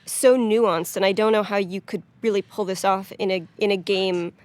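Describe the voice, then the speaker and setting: A woman's voice: medium pitch, fairly even intonation, moderate pace, darkish tone, dry recording. Woman in dry, close studio commentary. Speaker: game writer giving commentary. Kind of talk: casual analytical commentary.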